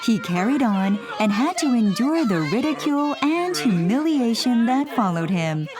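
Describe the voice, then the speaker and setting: A woman narrating a children's storybook in an expressive, theatrical, scripted style. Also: a little high, normal speed, crowd noise. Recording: music under the voice.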